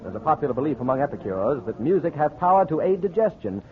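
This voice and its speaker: A man's voice: on the high side, sing-song, quick pace. Polished 1940s radio announcer man. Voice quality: formal, polished delivery. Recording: tinny old radio broadcast.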